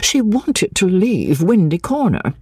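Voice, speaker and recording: A woman's voice: mid-range voice, big pitch swings, slow, darkish tone, slightly rough, no room sound, studio quality, pitched above the performer's own voice, exaggerated voice. A woman reading a storybook as a prim, fretful spinster cousin, martyred, secretive and intense. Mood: prim, aggrieved. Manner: evasive, intense, self-pitying, sometimes dropping to an urgent whisper.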